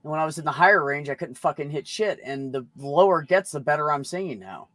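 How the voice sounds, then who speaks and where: A man with a slightly high voice talks in a casual group chat. He is blunt and chatty and swears freely, and the sound is dry and close. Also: lively intonation, fast, fairly bright tone, dry recording.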